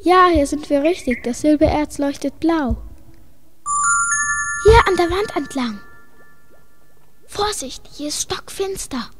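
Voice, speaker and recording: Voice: sits high, slow, long pauses, over music. A young performer playing a brave, watchful space traveller in an amateur children's audio drama.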